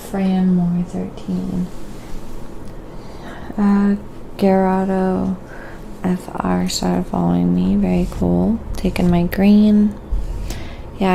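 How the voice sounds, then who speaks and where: A soft-spoken woman chatting quietly and casually while painting on an ASMR live stream, often murmuring to herself. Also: lowish voice, creaky, a little hiss or hum.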